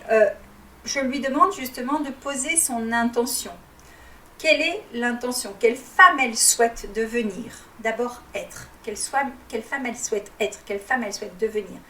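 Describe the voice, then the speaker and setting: Woman life coach, native Arabic speaker, calm teaching tone with pauses, slightly high voice, distant mic, roomy echo. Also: slight background noise. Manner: calm and didactic, with frequent hesitations. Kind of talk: guided reflection talk.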